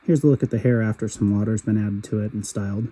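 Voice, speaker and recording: Guy doing a toy review voiceover, kinda raspy from a cold, super dark mellow voice. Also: on the low side, fast. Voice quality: husky. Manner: steady, matter-of-fact commentary. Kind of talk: calm voice-over product review.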